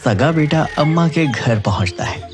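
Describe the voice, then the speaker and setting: A man's voice: a little high, expressive, normal speed, faint music behind. A man narrating a scripted children's moral story.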